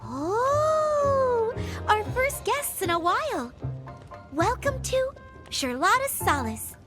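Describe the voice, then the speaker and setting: A woman's voice: very high voice, big pitch swings, slow pace, full character voice. A woman voicing a plucky, bossy but kind-hearted little innkeeper in a fantasy video game. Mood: welcoming. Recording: faint game music behind.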